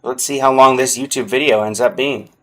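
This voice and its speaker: Guy streaming a game, chatty, talking to his viewers, medium pitch, some music in the back. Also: steady tone, brisk. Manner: chatty, addresses viewers directly. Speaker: live streamer. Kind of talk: casual gaming livestream commentary.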